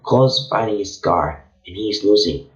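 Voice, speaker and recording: A man from Venezuela, hoarse from losing his voice, reading a story chapter aloud in a casual, halting way with frequent slips. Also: lowish voice, lively intonation. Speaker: channel host reading a story. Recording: echoey room, dull lo-fi sound.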